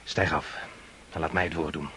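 An actor playing a bold, ruthless army officer in a radio drama, brusque, commanding and mocking. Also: male voice, rumbling low, level tone, moderate pace. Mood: terse, commanding. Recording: noisy old radio drama recording.

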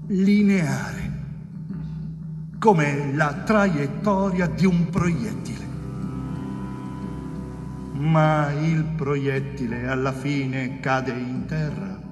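An actor playing a cold, zealous police officer in a declamatory, pompous theatrical monologue. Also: male voice, on the high side. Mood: cold, sententious. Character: zealous cold carabinieri officer justifying brutal methods. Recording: echoey hall reverb, music behind.

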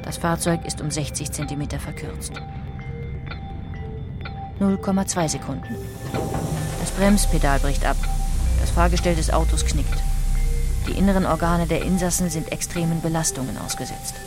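Female documentary narrator, lower register, factual measured read, clear music bed. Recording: music behind the voice.

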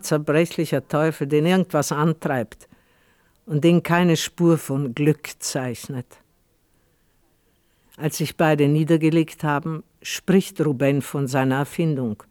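Male narrator, literary radio play storytelling, slightly high pitch, no room echo. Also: studio quality.

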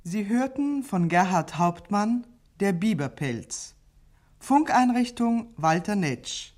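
A woman reading a formal, scripted cast announcement as a radio announcer in a measured manner. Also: medium pitch, lively intonation, darkish tone.

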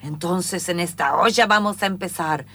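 A woman's voice: fairly low pitch, moderate pace, bright, forceful tone. A woman playing a theatrical witch who chants recipes and spells in improvised radio theatre. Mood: commanding, chanting. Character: old cackling witch brewing potions, chanting spells.

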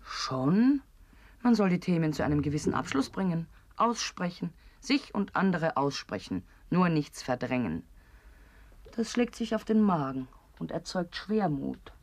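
Teasing, macabre woman in radio drama: low-pitched, very lively intonation, theatrical and sardonic, husky. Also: unhurried, no echo, full character voice. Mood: macabre, pontificating. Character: sly, macabre, teasing woman who devours her friends. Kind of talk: absurdist German radio play acting.

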